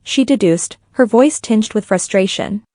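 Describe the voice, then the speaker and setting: A woman's voice: medium pitch, expressive, measured pace, mellow, dry recording, studio quality. A woman reading scripted fan fiction as a story narrator.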